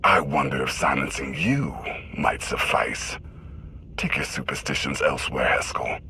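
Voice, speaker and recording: Haughty, very deep-voiced villain prince. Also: male voice, lively intonation, vocal fry, pushed and bright, breathy edge, heavily acted. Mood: scornful. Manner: haughty, measured, disdainful. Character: arrogant young warrior prince, scornful and smug. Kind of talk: dramatic video game voice acting.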